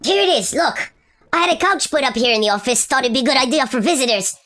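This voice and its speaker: A woman's voice: sits high, animated, fast, fairly bright tone. A woman playing a blunt, unashamed alien drug dealer who overshares in a matter-of-fact, slangy way, in an amateur sci-fi comedy radio play. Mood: casual, blunt.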